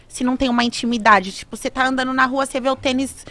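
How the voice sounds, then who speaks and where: A woman's voice: high-pitched, steady tone, quick pace, fairly bright tone. A young Brazilian woman, a rapper guest, telling stories in slangy casual chat on a podcast, close to a studio mic. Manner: slangy storyteller, playful. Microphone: studio mic on boom arm.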